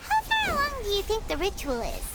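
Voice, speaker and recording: A woman voicing a tiny childlike floating companion in a video game, eager and excitable. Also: very high-pitched, full character voice. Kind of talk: video game cutscene acting.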